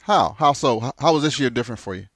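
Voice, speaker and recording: A man hosting a casual interview show, friendly and quick to jump in with questions. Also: mid-range voice, dry recording, clean studio sound. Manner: friendly, animated, jumps in with questions. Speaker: podcast host.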